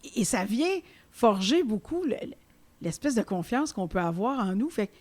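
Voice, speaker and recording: A woman hosting an interview podcast, speaking with a Québécois French accent, effusive and quick to laugh. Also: on the high side, very animated. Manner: effusive, laughs often, many restarts. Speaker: podcast host. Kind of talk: warm conversational interview.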